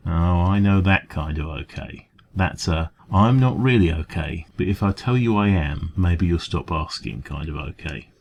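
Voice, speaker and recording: A man with an English accent playing a kindly, gentle café dragon in an ASMR comfort roleplay, speaking in a hesitant, informal, reassuring way. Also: deep voice, moderate pace, vocal fry, a little hiss or hum. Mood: gentle concern.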